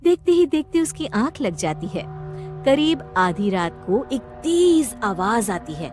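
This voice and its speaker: A woman narrates a scripted spooky story in a high voice with very lively intonation. Her delivery is dramatic and expressive, and music plays behind her. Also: slow pace.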